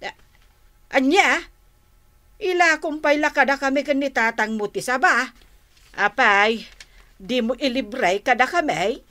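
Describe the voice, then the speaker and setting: Slow, coaxing Ilocano wife. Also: female voice, high voice, lively intonation, bright, forceful tone, heavily acted. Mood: haggling. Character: scheming, coaxing middle-aged wife and mother. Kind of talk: radio drama acting.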